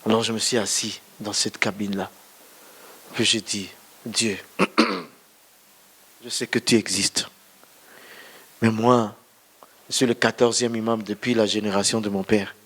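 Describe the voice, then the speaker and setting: A man's voice: on the low side, level tone, measured pace, pauses often, a bit bright, breathy edge. A male pastor whose first language is not French, giving a storytelling testimony sermon in church and speaking straight to his listeners. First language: not French, another mother tongue. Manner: storytelling, addresses listeners directly.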